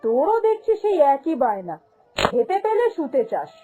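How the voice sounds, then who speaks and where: A woman's voice: high-pitched, huge pitch swings, normal speed, warm tone, muffled recording, soft background music. A woman voicing a greedy, domineering, haughty female shop boss in a cartoon, scolding and dismissive. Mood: angry, contemptuous. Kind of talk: cartoon fairy-tale voice acting.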